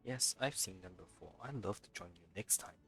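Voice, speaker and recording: A man's voice: sits low, lively intonation, fairly quick, vocal fry. A man streaming a let's play, reading out dialogue choices in a playful way. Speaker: game streamer. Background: soft game music.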